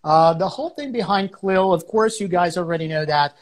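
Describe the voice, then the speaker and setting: A man from Brazil, a native Portuguese speaker, co-hosting a teaching podcast and explaining ideas with many pauses and fillers. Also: high-pitched. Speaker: podcast co-host, English teacher. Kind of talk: casual educational chat.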